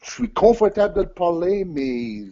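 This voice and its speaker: A man whose first language is English, speaking French haltingly in an interview over an audio call and searching for words with many restarts. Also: high voice, big pitch swings, warm tone, highs cut off. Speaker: interview guest, team manager.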